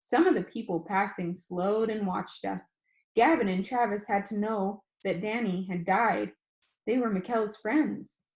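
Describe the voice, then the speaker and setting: Female storybook reader, mid-range voice, expressive first-person narration, noticeably roomy sound. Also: medium pitch, measured pace. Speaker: reader reading a novel aloud. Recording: roomy, echoing room sound.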